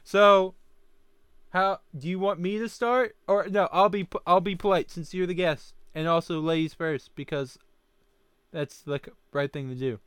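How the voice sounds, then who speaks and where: A man's voice: high-pitched, normal speed, a bit bright, clear, resonant tone, no echo. A young man co-hosting a casual podcast chat, often restarting his sentences. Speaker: podcast co-host.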